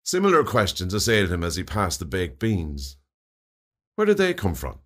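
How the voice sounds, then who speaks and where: An Irish man narrates a comic short story. His voice sits fairly low, but the intonation swings widely as he reads. The delivery is scripted, expressive and wry. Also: normal speed. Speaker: story reader.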